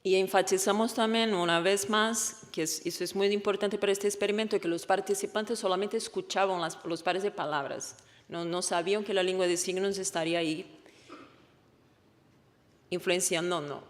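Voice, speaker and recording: A woman, a native Portuguese speaker with a Portuguese accent, gives a research presentation in an explanatory, halting way, often correcting herself. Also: mid-range voice, steady tone. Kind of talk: conference presentation. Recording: echoey hall with long reverb.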